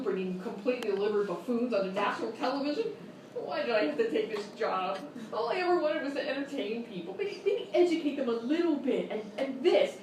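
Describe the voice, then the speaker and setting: A woman's voice: sits high, a little mellow. A woman playing an exasperated, bitter game show host, ranting theatrically and contemptuously in live radio-style sketch comedy. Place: live stage performance in a hotel room. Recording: very echoey room, distant mic, noisy.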